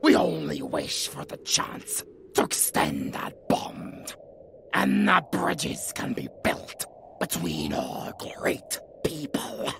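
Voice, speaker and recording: Gravelly, sharp-voiced goblin lord. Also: low-pitched, huge pitch swings, takes it slowly, a little hiss or hum, exaggerated voice. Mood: respectful, firm. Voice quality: gravelly, creaky. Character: gravelly goblin lord, respectful yet excitable. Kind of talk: fantasy audio roleplay acting.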